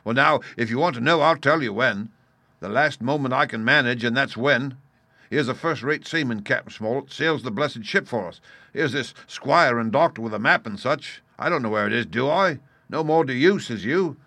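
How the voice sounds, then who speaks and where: A man voicing a scheming, swaggering pirate ringleader in a storybook, speaking forcefully in rustic seafaring dialect. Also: medium pitch, moderate pace, no room sound, voice pushed up. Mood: stern, commanding. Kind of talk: dramatised storybook reading.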